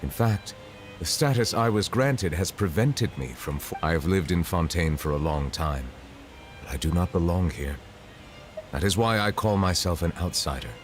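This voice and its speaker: A deep-voiced, dignified game character, acted by a man: a dragon in human form serving as chief justice. He is solemn and stiffly courteous, choosing measured, formal words over faint music. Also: close to an everyday voice. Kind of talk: video game voice acting.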